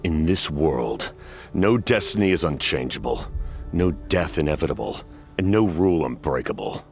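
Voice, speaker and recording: Very deep, solemn masked commander. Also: male voice, heavily acted. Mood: resolute. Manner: formal, measured, grave. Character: solemn, centuries-old masked male commander, weary and reserved. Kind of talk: video game voice acting.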